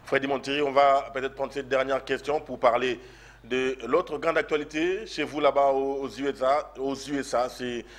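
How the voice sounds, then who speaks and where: A man interviewing a guest, asking measured questions with some fillers. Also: mid-range voice, steady tone.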